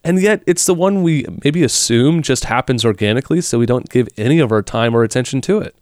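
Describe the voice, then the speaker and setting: A male podcast host speaks in a relaxed, conversational way and thinks aloud as he frames his questions. His voice is at a middle pitch and the recording is close and dry, with no room sound. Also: fairly quick, no echo. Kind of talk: interview on faith.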